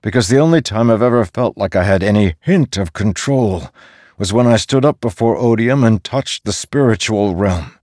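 A man's voice: sits low, lively intonation, moderate pace, no room sound, clean studio sound. Man playing a defiant, weary commanding general. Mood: exhausted, earnest. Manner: insistent, demanding, earnest. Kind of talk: dramatic fantasy audiobook character.

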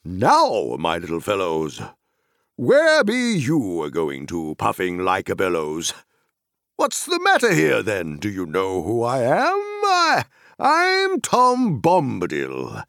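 A man's voice: lowish voice, huge pitch swings, takes it slowly, fairly bright tone, no echo, clean studio sound, heavily acted. A man voicing a boisterous, jolly old forest dweller who sings and bellows in a rustic sing-song dialect. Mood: booming jolly. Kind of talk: audiobook character voice.

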